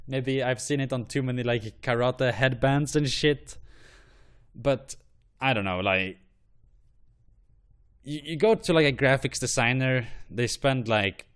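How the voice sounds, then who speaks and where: A man's voice: mid-range voice, clear, resonant tone. A man hosting an online show, giving blunt, profane and rambling opinions. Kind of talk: ranting commentary on flags.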